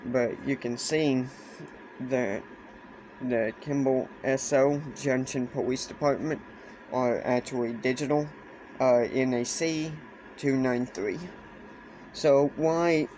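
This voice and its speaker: Man, slow pace, halting, repetitive phrasing, casual hobbyist demo, handheld camera, noisy background. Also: mid-range voice. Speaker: hobbyist presenter. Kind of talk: casual explainer demonstration. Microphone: handheld camera or phone. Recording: clear steady background noise, handheld camera.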